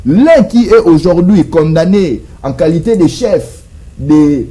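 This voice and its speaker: A man's voice: on the high side, animated, takes it slowly, dark tone. A man with a Congolese accent speaking as a guest in a televised political debate, emphatic and rhetorical, repeating phrases and answering his own questions. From Democratic Republic of the Congo.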